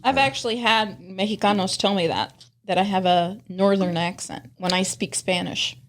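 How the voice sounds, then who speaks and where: A woman in her fifties, a podcast guest chatting warmly about her childhood and laughing often. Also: mid-range voice, normal speed, slight background noise. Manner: warm, laughs often while reminiscing. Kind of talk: casual reminiscing chat.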